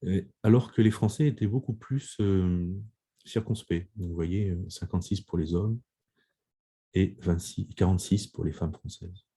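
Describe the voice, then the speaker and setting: A low, dark-toned man lectures over a video call, with a slightly husky voice. He speaks in measured phrases, restarting words and pausing often. The tone is that of an academic presenting survey results. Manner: measured, many restarts and pauses with "euh". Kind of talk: video conference talk presenting survey findings.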